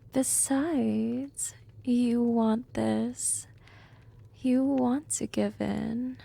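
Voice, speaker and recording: Woman playing a breathy, creaky, seductive vampire hypnotist. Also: fairly high pitch, dark tone, exaggerated voice. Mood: teasing, commanding. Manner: slow, hypnotic, coaxing, dominant. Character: seductive, commanding, teasing female vampire hypnotist. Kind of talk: whispered ASMR roleplay.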